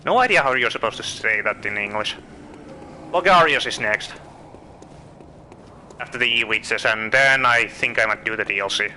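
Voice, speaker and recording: A man with a Finnish accent giving casual, chatty commentary while streaming a game, thinking out loud and asking his viewers questions. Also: mid-range voice, normal speed, long pauses, a little hiss or hum, low-quality recording. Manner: chatty, thinks aloud, asks viewers questions, self-correcting. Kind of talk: casual live gameplay commentary.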